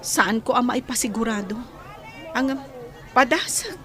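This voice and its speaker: A woman's voice: fairly high pitch, lively intonation, measured pace, a crowd in the background. An actress playing a stressed, exasperated young wife and mother in an Ilocano radio drama. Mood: weary.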